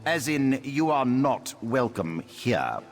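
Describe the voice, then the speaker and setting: A pompous sneering elf noble. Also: male voice, mid-range voice, big pitch swings, slow pace. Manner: pompous, sneering, mock-polite. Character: haughty condescending male high-elf noble, pompous. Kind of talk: video game voice acting. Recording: game audio with faint music.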